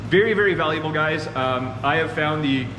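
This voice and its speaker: A man's voice: on the high side, brisk. A man in his thirties from Ontario, Canada, a machine shop owner giving earnest casual advice to camera from his shop floor. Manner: earnest, talks with his hands, addresses viewers as guys. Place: large open machine shop floor, indoors. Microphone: far camera on a tripod. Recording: roomy echoey shop with long reverb and air conditioning hum.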